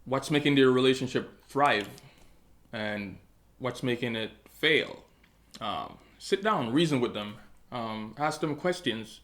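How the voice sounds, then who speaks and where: Man from Jamaica, co-host, mid-pitched, calm, dry wit. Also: takes it slowly. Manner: calm, low-key, dry humor. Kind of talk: casual advice chat.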